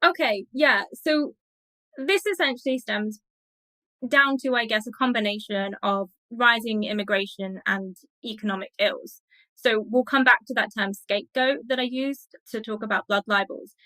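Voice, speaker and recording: A woman gives an explanatory interview as an expert guest. Her delivery is measured and scholarly, laid out step by step. The sound is very dry, with hardly any room around her voice. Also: fairly high pitch, sharp tone, dry recording, rough recording.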